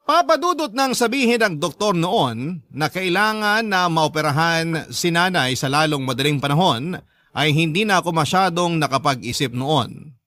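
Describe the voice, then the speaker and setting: A man with a Filipino accent narrating as a sorrowful grown child in an earnest, measured radio drama letter style. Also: a little high, lively intonation, pushed and bright, no echo, clean studio sound. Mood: sorrowful, reflective. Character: sorrowful grown child recounting a father's betrayal.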